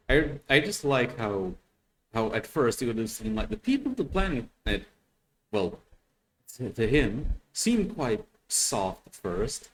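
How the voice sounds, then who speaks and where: A man hosting a reaction video, giving casual unscripted commentary in a halting way, often repeating words as he thinks. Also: medium pitch, poor audio. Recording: faint background noise.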